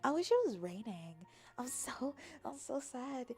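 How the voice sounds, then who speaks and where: Young female livestreamer, high voice, silly and expressive casual chat, music underneath. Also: measured pace, husky. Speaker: livestreamer chatting with viewers. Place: apartment.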